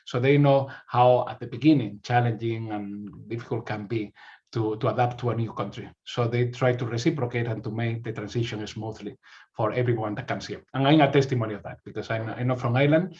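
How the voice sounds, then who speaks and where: A man's voice: mid-range voice, steady tone, fairly quick, darkish tone. Spanish-accented man presenting, muffled audio. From Spain. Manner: repeats words while searching for phrasing. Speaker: university recruitment representative. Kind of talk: informational presentation.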